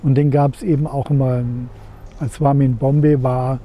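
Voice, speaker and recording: Mellow, very soft-toned man in his seventies. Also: mid-range voice, level tone. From Germany. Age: 70s. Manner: measured, searching for words. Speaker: interviewee. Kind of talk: reflective personal recollection in an interview.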